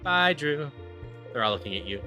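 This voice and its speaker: A man's voice: mid-range voice, sing-song, moderate pace, sharp tone. A man livestreaming a game, reading its dialogue aloud in a theatrical way and switching into character voices. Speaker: game streamer. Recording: music behind the voice. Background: game music.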